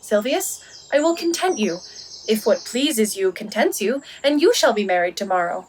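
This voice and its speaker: A young actress playing a quick-witted heroine in boy's disguise, with a fairly high voice. She delivers Shakespearean radio drama lines in a playful, articulate way. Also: sing-song, a little mellow, far from the mic, slight background noise, rough recording. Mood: brisk, commanding. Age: young adult. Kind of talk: Shakespearean radio play acting.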